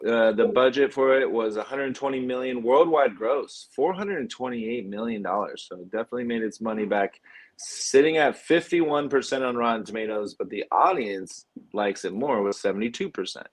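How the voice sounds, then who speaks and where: A man's voice: mid-range voice, moderate pace. A man co-hosting a casual film discussion, speaking in a somewhat hesitant way with frequent ums. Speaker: podcast co-host.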